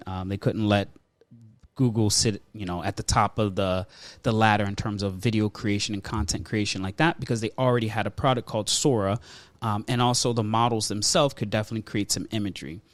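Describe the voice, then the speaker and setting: A man's voice: fairly low pitch, fairly quick, no echo. A man hosting a podcast, talking casually in informal slang as he banters and runs through topics. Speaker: podcast host.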